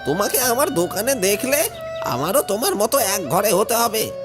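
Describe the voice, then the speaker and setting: A woman's voice: medium pitch, big pitch swings, moderate pace, over music, close to an everyday voice. A woman voicing a curt, callous village shopkeeper with a scolding, dismissive manner in a cartoon drama.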